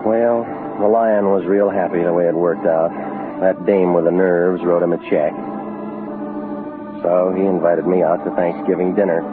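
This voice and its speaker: A brash, world-weary private detective, played by a man in a 1940s radio drama, with a fairly low voice. He talks in clipped, sardonic slang. The recording is a muffled old broadcast with music behind it. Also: steady tone, normal speed, lightly acted. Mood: wry narrating.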